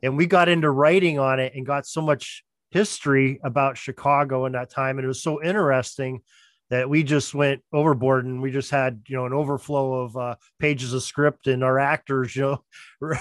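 A man's voice: medium pitch, fairly even intonation, moderate pace, dry recording, studio quality. A man talking casually as a producer being interviewed on a podcast.

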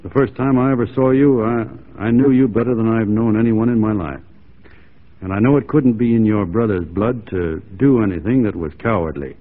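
A man's voice: fairly low pitch, a little fast, natural voice. An actor playing a wry, plain-spoken frontiersman in a 1940s radio drama, folksy and a little hesitant. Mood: tender, hesitant. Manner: folksy, hesitant, drily sardonic. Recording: tinny, narrow-band 1940s radio recording.

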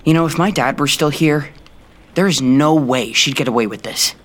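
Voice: medium pitch, moderate pace, a bit bright, slight background noise, natural voice. An actor playing an earnest, frustrated teenage prince in an audio drama, youthful and a little petulant. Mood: sulky, wistful.

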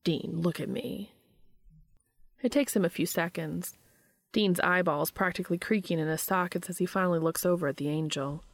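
A woman reading a story aloud as narrator, in an expressive scripted storytelling style. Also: on the low side, brisk, dry recording. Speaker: fan fiction reader and narrator.

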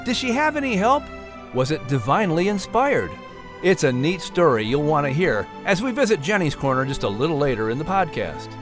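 A man announcing and narrating a children's audiobook in an animated scripted storytelling style. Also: a little high, a little fast, music in the background.

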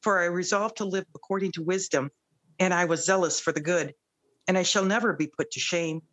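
A woman guest sharing her faith story in casual conversation, earnest and grateful, at times reading scripture aloud slowly. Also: on the low side, fairly bright tone. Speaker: guest, radio producer.

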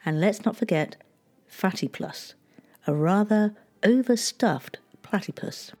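A woman giving scripted history narration in a measured, gently storytelling way. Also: mid-range voice, lively intonation.

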